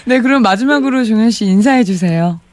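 High-voiced man chatting on radio. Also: lively intonation, brisk. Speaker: radio show presenter. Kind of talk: casual radio chat.

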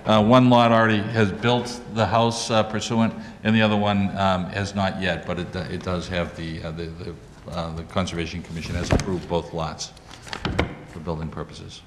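A man's voice: low voice, little pitch movement, normal speed. An older man presenting a lot plan to a planning board in a council chamber, speaking into a handheld mic with many fillers and restarts. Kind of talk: explaining a lot plan at a public meeting. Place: council chamber with wood paneling. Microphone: handheld mic, walking around. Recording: echoey chamber with light noise.

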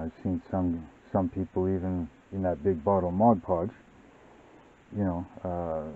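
Very deep-voiced man, tinny sound. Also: a little hiss or hum. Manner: relaxed, rambling, many fillers. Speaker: model-building channel host. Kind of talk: casual hobby tutorial narration.

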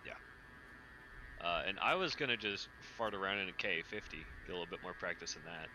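A man's voice: sits low, animated, fairly quick, sharp tone, slight background noise, rough recording. A man chatting cheerfully and laid-back over game voice chat. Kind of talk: casual gaming chat.

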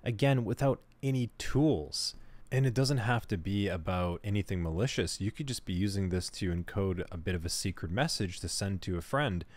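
A man with a somewhat low voice leads a screen-recorded cybersecurity tutorial. He talks at an easy, conversational pace and thinks aloud as he works through each step. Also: normal speed. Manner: relaxed, conversational, thinks aloud while working. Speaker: cybersecurity instructor.

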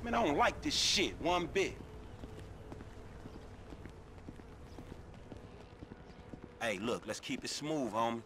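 A man's voice: mid-range voice, big pitch swings, moderate pace, fairly bright tone. An actor playing a wary, exasperated young male gangster in a video game, grumbling in profane, slangy street talk. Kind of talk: video game cutscene acting. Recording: game sound effects and noise behind.

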